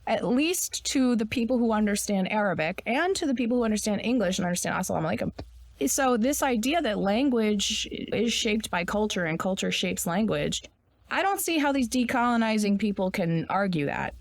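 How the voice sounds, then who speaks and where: A woman's voice: medium pitch, lively intonation, a little fast, no room sound. A woman co-hosting a podcast, explaining ideas in a blunt, opinionated way and swearing now and then. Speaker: podcast co-host. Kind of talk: casual podcast discussion.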